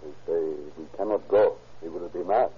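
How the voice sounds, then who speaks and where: A man playing a cautious, polite Mexican deputy in a radio drama, speaking halting English with a Mexican accent. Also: low-pitched, steady tone, husky. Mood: cautious, reluctant. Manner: halting broken English, deferential. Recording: dull vintage radio recording.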